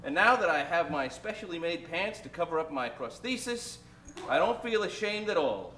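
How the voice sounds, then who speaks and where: A man's voice: fairly high pitch, animated, normal speed, sharp tone, echoey room. A man playing a down-on-his-luck fellow in an old-time radio comedy, hammy and full of period slang. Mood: hopeful. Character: grumbling, down-on-his-luck man trying to buck up.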